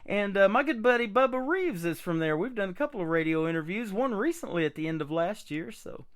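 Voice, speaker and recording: A man's voice: sits high, animated, brisk. A man hosting a radio show, chatting in an upbeat way with frequent fillers. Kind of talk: casual radio interview chat.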